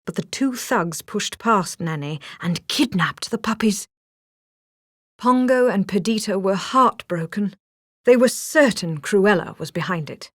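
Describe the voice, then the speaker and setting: A woman's voice: mid-range voice, expressive, unhurried, a bit bright, no echo, studio quality. A woman reading a scripted children's story as a storybook narrator.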